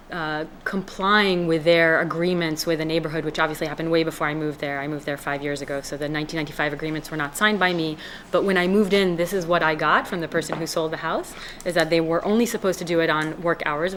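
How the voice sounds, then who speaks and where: A woman's voice: low-pitched, a bit bright, slight background noise. A woman from Israel, a resident speaking at a town hearing, earnest and hesitant with many fillers and restarts. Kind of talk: public comment at a town hearing. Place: town meeting room.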